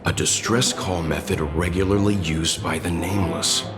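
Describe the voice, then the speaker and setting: A man's voice: sits low, steady tone, moderate pace, close to an everyday voice. An actor voicing a reserved, perceptive male space traveler in a video game, with a dry, musing delivery. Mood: pondering. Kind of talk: English video game voice acting. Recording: loud music behind the voice.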